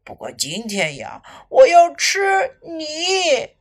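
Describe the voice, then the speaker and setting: A woman voicing a sly, menacing male crocodile in a storybook, with a drawn-out, deliberate delivery. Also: sits high, wildly swooping pitch, full character voice.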